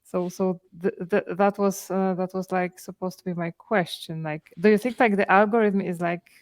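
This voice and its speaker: A woman's voice: fairly low pitch, steady tone, moderate pace. A woman with a Polish accent hosting a video call interview, asking questions in chatty, loosely phrased speech full of fillers. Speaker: interview host.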